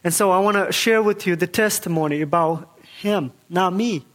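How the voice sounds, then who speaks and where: A young man gives his church testimony, his voice a touch higher than most men's. He talks earnestly and haltingly, with many fillers and restarts as he searches for words. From Kazakhstan. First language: Kazakh. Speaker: guest speaker giving testimony.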